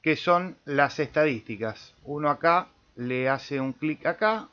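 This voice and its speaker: A man with an Argentine accent giving a casual step-by-step software tutorial as host, with small check-in tags. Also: fairly high pitch, unhurried. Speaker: amateur radio tutorial host. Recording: dull lo-fi voice-over recording.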